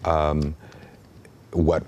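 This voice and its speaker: A man's voice: extremely low pitch, monotone, extremely slow, darkish tone. A man in his thirties from Mexico with a Mexican Spanish accent, a native Spanish speaker, talking earnestly as an interview guest, with frequent ums and restarts. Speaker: artistic director.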